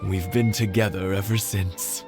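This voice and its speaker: A man's voice: low voice, fairly even intonation, measured pace, over music. A man voicing a genial, teasing old village husband in an anime game, wry and fond.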